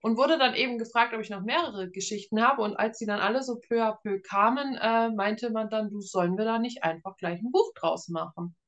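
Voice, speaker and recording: Casual woman author, slightly high voice. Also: fairly quick, few pauses. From northern Germany. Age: around 30. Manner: informal, explanatory. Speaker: author, interview guest. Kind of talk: casual interview conversation.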